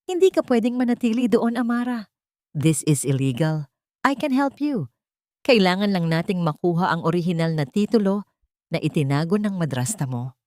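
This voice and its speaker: A woman's voice: lowish voice, sing-song, normal speed, a little mellow, dry recording. An earnest young lawyer in an audio drama, played by a woman narrator. He speaks with urgency and firm resolve, a protective friend. The sound is dry and close. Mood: resolute, protective.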